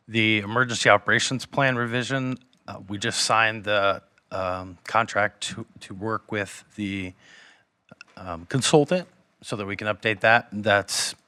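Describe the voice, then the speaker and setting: Guy presenting at a council meeting, bit raspy, talks through a mask, bright voice, dry sound. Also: on the low side, dry recording. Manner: hesitant, many ums and restarts. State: slightly raspy voice. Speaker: city staff presenter. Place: council chamber. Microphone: wearing a mask.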